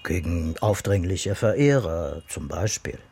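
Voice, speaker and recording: A cool police detective who makes terse, pointed remarks. His voice sits low for a man. This is a radio crime drama. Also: animated, a little slow, gravelly, darkish tone, breathy edge, heavily acted. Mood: dry. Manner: dry, pointed questioning. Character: dry, probing male police detective.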